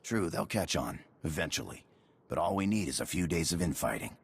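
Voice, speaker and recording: A furtive, paranoid spy from a video game. His voice is extremely deep and thick with rasp. He speaks in clipped, secretive phrases. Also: animated, brisk, heavily acted. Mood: secretive, urgent. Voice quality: very gravelly, raspy. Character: furtive paranoid male spy agent, conspiratorial.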